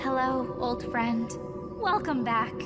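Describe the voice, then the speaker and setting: An actress playing a blunt, crude, expressive female space crew member in a video game. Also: a little high, slow, loud music behind the voice. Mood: curious, disgusted.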